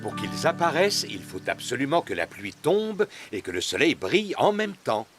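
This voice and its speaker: A big, jolly, bumbling father pig for a children's cartoon. His voice is deep and swoops widely, with faint music behind it. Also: big pitch swings, moderate pace, a bit bright. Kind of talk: French children's cartoon dubbing.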